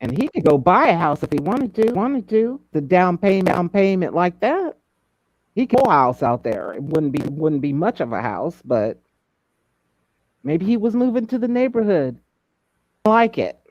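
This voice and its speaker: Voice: medium pitch, big pitch swings, moderate pace, no room sound. A person in a casual group discussion, speaking emphatically and often repeating phrases for effect. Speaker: panelist in a true crime discussion. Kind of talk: casual chat, speculating in a group call.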